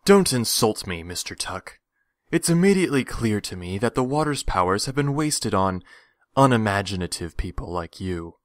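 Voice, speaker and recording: A man playing a greedy, condescending villain in an audio drama, with theatrical, drawn-out phrasing. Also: lowish voice, very animated, no echo. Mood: contemptuous. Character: menacing, greedy, smooth-talking villain stranger, adult man.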